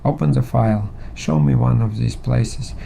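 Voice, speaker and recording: A man playing a stubborn, skeptical engineer in an audio drama, gravelly, blunt and terse. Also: medium pitch, normal speed, mellow, a little hiss or hum. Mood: blunt, wary. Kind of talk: scripted audio drama dialogue.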